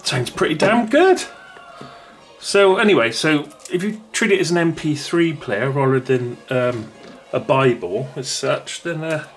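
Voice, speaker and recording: A man's voice: fairly high pitch, lively intonation, a little slow. A man rambling casually as he reviews a small gadget at home, with frequent restarts. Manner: rambling, chatty, many restarts. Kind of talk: casual unboxing talk.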